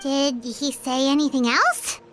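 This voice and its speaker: A tiny floating fairy girl from a video game, with a very high, childlike voice. The delivery swings widely in pitch, chatty, curious and excitable, over background music. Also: a little slow. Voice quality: childlike, squeaky. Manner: expressive, exclaiming, chatty.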